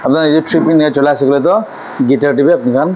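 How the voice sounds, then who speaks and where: A man's voice: medium pitch, steady tone, rapid-fire, dark tone, highs cut off, slight background noise. A man gives an informal shop tour as a seller, rambling and repeating himself with English words mixed in. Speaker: music store seller presenting stock. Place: small cluttered music store.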